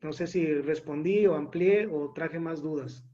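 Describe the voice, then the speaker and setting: High-voiced man explaining doctrine, dull audio. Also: unhurried, warm tone. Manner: explanatory, asks "right?" often. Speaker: church teacher leading a discussion. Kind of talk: explaining doctrine in a group discussion.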